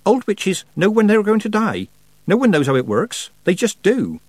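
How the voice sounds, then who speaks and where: A man's voice: high voice, wildly swooping pitch, normal speed, a little mellow, no room sound, voice pushed up, full character voice. A man reading aloud, voicing a hesitant, well-meaning young girl witch who keeps saying um. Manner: hesitant, full of ums.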